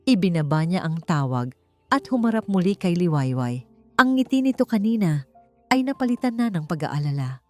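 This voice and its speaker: Low-pitched woman narrating a love story. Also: sing-song, normal speed. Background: faint music.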